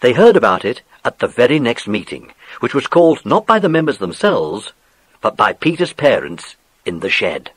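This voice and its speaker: A man's voice: mid-range voice, very animated, moderate pace, slightly rough, dry recording. A man narrating a scripted children's story as the narrator of an audiobook play.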